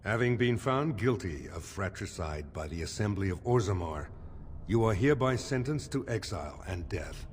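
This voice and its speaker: An actor playing a stern, solemn dwarf lord in a fantasy game, speaking formally and with measured weight. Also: male voice, fairly low pitch, expressive, lightly acted. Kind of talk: fantasy video game acting. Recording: long hall reverb, faint ambient noise.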